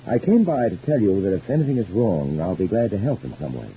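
An actor playing a patient, quietly concerned doctor in an old radio soap, reasoning in a measured, placating way. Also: male voice, lowish voice, big pitch swings. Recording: dull, noisy old radio recording.

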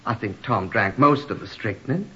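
A man's voice: on the low side, expressive, moderate pace, loud music behind the voice, natural voice. An actor playing a flippant, garrulous man with macabre humour and wordy, mock-literary talk in a radio drama. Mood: macabre relish. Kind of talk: old-time radio drama acting. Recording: dull, muffled old radio recording.

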